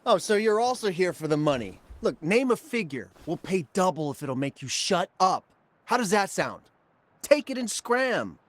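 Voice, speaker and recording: A greedy, blustering game villain with a high-pitched voice. His delivery swings widely in pitch as he brushes people off dismissively. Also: very animated, moderate pace. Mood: exasperated. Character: brash, greedy male crook running an art forgery racket. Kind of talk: video game voice acting.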